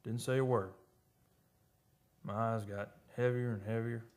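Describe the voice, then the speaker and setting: An actor playing a folksy, uneasy oil-rig worker telling an eerie tale in old-time radio drama style, halting and ungrammatical. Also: male voice, mid-range voice, steady tone, darkish tone, lightly acted. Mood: haunted, hushed. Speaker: actor narrating a radio play. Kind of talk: old-time radio drama acting, first-person storytelling. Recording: live radio studio with music behind.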